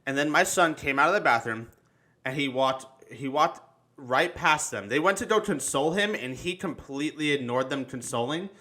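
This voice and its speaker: Bright-voiced man, halting and sweary. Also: on the high side, lively intonation. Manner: halting, repeats words, swears freely. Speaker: livestream talk show host. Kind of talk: casual chat, personal storytelling.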